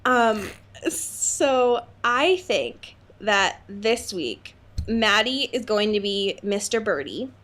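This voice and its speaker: A woman's voice: medium pitch, expressive, slow pace, a bit bright. A young adult woman co-hosting a podcast in casual, comedic chat, with a jokey, playful, slangy manner and easy swearing. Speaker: podcast co-host.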